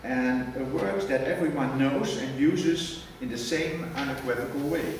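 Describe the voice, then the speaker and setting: Man, formal conference lecture, measured prepared reading, distant mic, big reverberant hall, audible background noise. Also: medium pitch. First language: Dutch. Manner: measured, reads a prepared text, precise wording. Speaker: academic lecturer. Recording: echoey hall from far off with noise.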